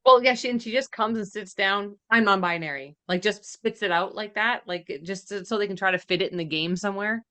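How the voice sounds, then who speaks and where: A woman's voice: medium pitch, fairly quick, hardly any pauses, bright, forceful tone. Exasperated woman chatting on a podcast. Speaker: podcast co-host reacting to game cutscenes. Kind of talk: casual chat commentary.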